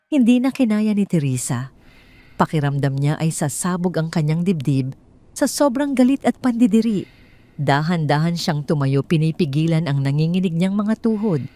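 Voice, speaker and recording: A woman's voice: low-pitched, darkish tone. A woman reading a scripted dramatic story aloud as its narrator.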